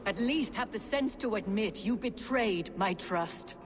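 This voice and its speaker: An actress playing a proud, indignant Norse goddess in a video game, accusing and formal in manner. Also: female voice, mid-range voice, expressive, measured pace, lightly acted. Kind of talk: video game cutscene acting. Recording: hall reverb, noisy ambience behind.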